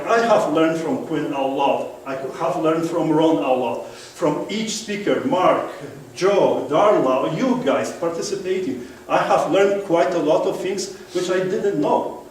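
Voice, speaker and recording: A man's voice: a little high, darkish tone, slight background noise. Polish-accented man, echoey room from far. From Poland. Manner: engaging, keeps asking the audience to agree. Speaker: guest speaker. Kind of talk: seminar presentation. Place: meeting room.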